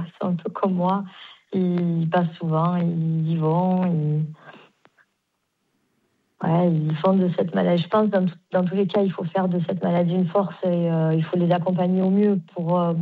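A woman in her forties calling into a radio show, speaking haltingly, repeating words and agreeing warmly. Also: on the low side, phone-quality sound. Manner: halting, repeats herself, warm and emphatic agreement. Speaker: caller, mother. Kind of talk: phone interview on radio. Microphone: phone line.

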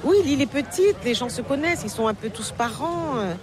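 Femme pensive, foule animée autour. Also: female voice, high-pitched, expressive, a little fast. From Paris, France. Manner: reflective, hesitant with frequent fillers and restarts. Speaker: novelist guest. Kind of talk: casual interview conversation. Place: outdoor market, among people. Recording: busy crowd noise outdoors. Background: crowd chatter, passing vehicles.

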